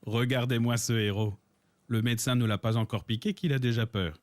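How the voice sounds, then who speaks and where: A man narrating a cartoon in a scripted, gently ironic storytelling manner. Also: medium pitch, fairly even intonation, brisk, slight background noise. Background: music.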